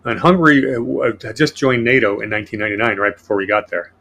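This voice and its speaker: A man's voice: mid-range voice, steady tone, quick pace, vocal fry, a bit bright. A man hosting a casual political podcast, reading from his notes in a sardonic way. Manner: sardonic, reads notes aloud. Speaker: podcast host.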